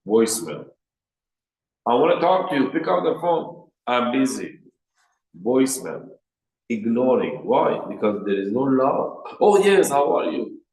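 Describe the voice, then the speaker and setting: A man's voice: medium pitch, very animated, a little slow, a little mellow, reverberant, low-quality recording. A man with a Persian accent giving a workshop lecture on marriage as a rabbi, in plain short sentences, raising his voice to act out quoted speakers. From Iran.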